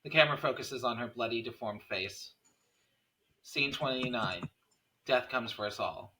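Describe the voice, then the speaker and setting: A man's voice: medium pitch, moderate pace, background noise. A man reading scene headings and stage directions as narrator at a script table read.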